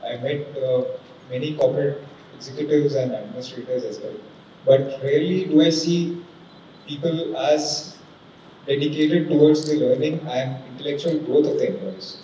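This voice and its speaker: Young man, mellow voice, earnest formal talk, dull distant echoey hall sound. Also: on the high side, fairly even intonation, normal speed, a little hiss or hum. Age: 20s. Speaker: guest speaker, civil judge. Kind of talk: motivational talk. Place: large conference hall.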